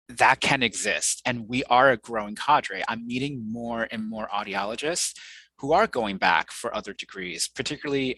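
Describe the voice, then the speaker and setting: A man whose first language is Mandarin talks in a low, bright, forceful voice, thoughtful and measured. He often pauses and fills gaps as he works through his points. The sound is dry and close, with no room echo. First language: Mandarin Chinese. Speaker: guest, audiologist and researcher. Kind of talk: conversational podcast interview.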